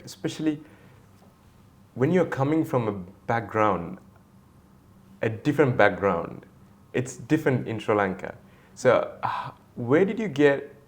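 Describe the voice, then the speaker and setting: A man hosting an interview, asking questions in slow, formal phrasing. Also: fairly low pitch.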